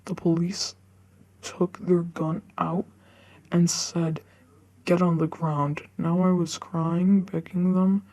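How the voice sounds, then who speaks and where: A woman reading online forum stories aloud in a halting way, often stumbling over the words. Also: lowish voice, little pitch movement, dark tone, poor audio. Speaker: narrator reading online stories for a video channel.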